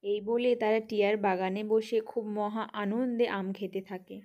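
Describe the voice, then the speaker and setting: A woman's voice: mid-range voice, fairly even intonation, normal speed, dull audio. A woman reading scripted narration for a children's cartoon story.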